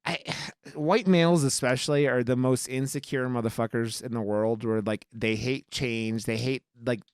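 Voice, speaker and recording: A man with a clear, smooth voice in a dry, close-sounding recording. He talks casually and emphatically as a podcast co-host, rambling and swearing freely as he goes. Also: medium pitch, steady tone, moderate pace, no echo. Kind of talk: casual film review chat.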